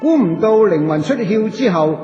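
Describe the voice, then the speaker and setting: An actor with a Hong Kong Cantonese accent playing a cheeky, chatty, poor wandering soul in a radio drama, colloquial, playful and coaxing. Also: male voice, high-pitched, lively intonation, normal speed, mellow, soft background music. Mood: mischievous, playful. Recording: dull echoey audio with long reverb.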